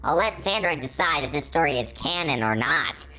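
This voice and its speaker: Low-pitched woman reading fan fiction. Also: lively intonation, normal speed, bright, forceful tone, rough recording. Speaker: story reader, channel host.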